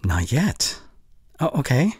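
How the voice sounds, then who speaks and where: An anxious, protective young boyfriend, acted by a man in an intimate ASMR roleplay. His voice is breathy and husky and moves around a lot in pitch. He speaks haltingly and at an unhurried pace, tender and devoted. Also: wildly swooping pitch, dry recording, exaggerated voice. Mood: tender, reassuring. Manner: intimate, halting, breathy sighs, stammering when flustered.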